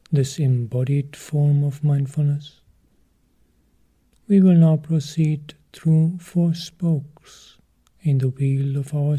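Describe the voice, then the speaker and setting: A man leads a guided meditation as a teacher. His voice is very mellow and dark, with a soft, husky breathiness. He speaks extremely slowly and calmly, in short measured phrases separated by long pauses. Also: a little high.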